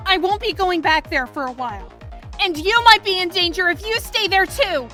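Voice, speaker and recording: A woman as a high, urgent, commanding heroine. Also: lively intonation, moderate pace, bright, forceful tone, soft background music, heavily acted. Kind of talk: game fandub acting.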